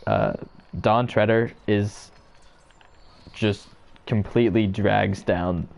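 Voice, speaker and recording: A young man in casual chat as a podcast co-host, hesitant and halting, with many fillers. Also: lowish voice, gravelly, a little mellow. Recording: dull lo-fi sound.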